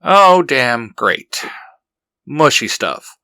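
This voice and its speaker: Dude doing a grumpy young hero, sarcastic and impatient, bright edgy voice, dry sound. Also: male voice, medium pitch, sing-song, extremely slow, vocal fry, clean tone, no room sound, exaggerated voice. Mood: annoyed. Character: eye-rolling grumpy young male hero.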